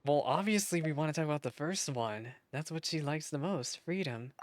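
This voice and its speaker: A woman's voice: low voice, fairly quick, slight background noise. A woman live streamer giving casual, chatty, slangy reaction commentary during a game playthrough.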